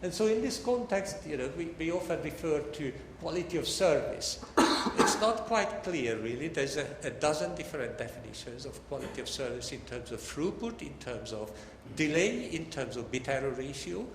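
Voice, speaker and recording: A man's voice: on the high side, lively intonation, normal speed. Hungarian-accented male lecturer in an echoing hall. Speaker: academic lecturer. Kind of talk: formal academic lecture to an audience. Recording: echoey hall, long reverb, faint noise.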